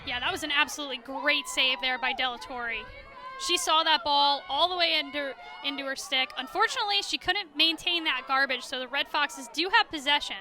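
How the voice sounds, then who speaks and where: A woman's voice: sits high, fairly quick. A woman giving analytical sports commentary as a color commentator, explaining the play. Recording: loud crowd and music behind. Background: game crowd and music.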